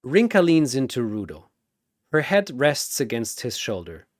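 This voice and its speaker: A man's voice: mid-range voice, sing-song, unhurried, no echo, studio quality. A man delivering dramatic scripted story narration in theatrical, suspenseful short phrases.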